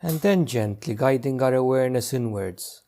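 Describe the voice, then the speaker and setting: A man leading a guided meditation as a teacher, giving gentle, unhurried instructions with long pauses. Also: mid-range voice, expressive.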